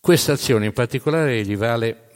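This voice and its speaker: A man narrating history as dramatic storytelling, in emphatic, measured phrases with pauses. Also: mid-range voice. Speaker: narrator of a storytelling stage show. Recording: long hall reverb.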